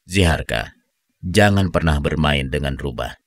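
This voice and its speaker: A man's voice: very deep voice, animated, measured pace, dry recording, studio quality, full character voice. A man voicing a stern, protective animal guardian in a children's cartoon, with commanding, theatrical delivery. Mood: warning. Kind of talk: animated children's fairy-tale acting. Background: music.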